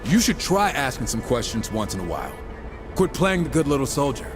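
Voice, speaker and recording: A man's voice: mid-range voice, very animated, a little fast, music in the background, lightly acted. An actor playing a cocky, irreverent young horseman in a video game, casual, teasing and sarcastic. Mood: needling. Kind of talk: video game cutscene acting.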